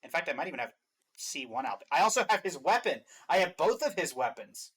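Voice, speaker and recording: A man with a fairly high voice gives casual reaction commentary on a game. He hosts in a chatty, emphatic style, sharing his opinions freely. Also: very animated, fast, fairly bright tone, breathy edge. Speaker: host of a reaction and ranking show.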